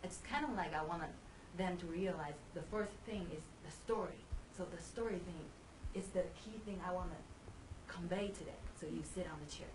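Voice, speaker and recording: A soft-spoken woman shares how she runs her children's class, her pitch sitting a touch low. She often restarts her phrases. She sounds set back from the mic, with clear background noise. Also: moderate pace, recorded from a distance. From Taiwan. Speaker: children's Bible class teacher. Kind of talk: casual group discussion.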